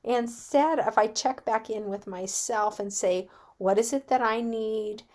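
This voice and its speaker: Slow, candid woman speaking informally. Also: on the high side, darkish tone. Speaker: guest speaker, wellness business owner. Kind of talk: informal personal talk.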